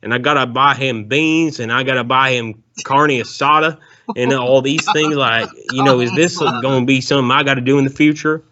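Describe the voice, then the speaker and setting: A man's voice: mid-range voice, fairly even intonation, normal speed, crowd noise, close to an everyday voice. A man voicing a rambling, bigoted Southern ex-convict on a prank call, with a folksy drawl and calling everyone sir. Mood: indignant. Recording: dull lo-fi phone call.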